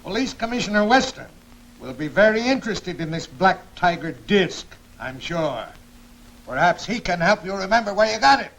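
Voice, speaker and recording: Stern high-voiced judge. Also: male voice, very animated, moderate pace, a bit bright, full character voice. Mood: pressing, threatening. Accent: American. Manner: formal, authoritative questioning. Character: stern male judge questioning a prisoner. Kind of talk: 1940s film serial acting. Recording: noisy old 1940s film soundtrack.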